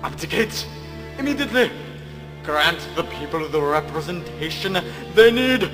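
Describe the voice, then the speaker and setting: A man's voice: on the high side, lively intonation, normal speed, in a large hall, natural voice. A man playing an earnest young revolutionary in a comic melodrama, impassioned and dramatic. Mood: indignant. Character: earnest young male revolutionary avenging his father. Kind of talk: comic melodrama audio acting. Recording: loud music over the voice.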